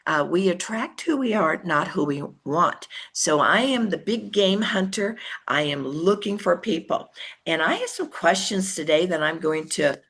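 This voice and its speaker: An older woman giving a chatty motivational training talk on network marketing, acting out conversations in lively voices. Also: on the low side, unhurried, husky.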